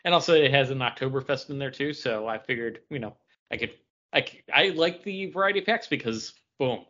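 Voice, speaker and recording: A man with a bright, sharp-edged voice of medium pitch banters casually as a podcast co-host. His talk is informal and conversational. The recording sounds slightly dull and lo-fi. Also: a little fast.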